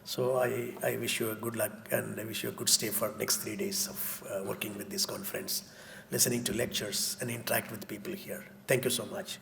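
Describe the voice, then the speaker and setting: A man's voice: lowish voice, brisk, a little hiss or hum. A senior male professor giving a formal greeting at a conference, with a few fillers. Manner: flat, gravelly delivery with fillers. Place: conference hall.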